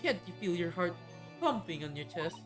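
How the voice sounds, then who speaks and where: A woman's voice: fairly low pitch, big pitch swings, moderate pace, faint music behind. A woman voicing a romantic, dreamy young woman while reading game dialogue aloud, with a gushing, wistful delivery.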